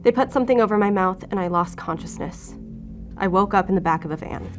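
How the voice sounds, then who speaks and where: A woman's voice: medium pitch, fast talker, faint music behind, natural voice. An actress playing a wounded, guarded female FBI agent who talks with sardonic defiance in an audio drama. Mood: bleak, pained. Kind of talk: audio drama acting, confessional.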